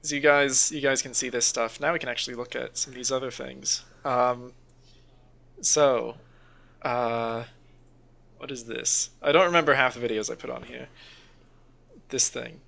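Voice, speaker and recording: A man's voice: mid-range voice, fairly even intonation, moderate pace, vocal fry, long pauses, pushed and bright. A man co-hosting a podcast in a casual, rambling, sweary chat, reacting to videos. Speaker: podcast host.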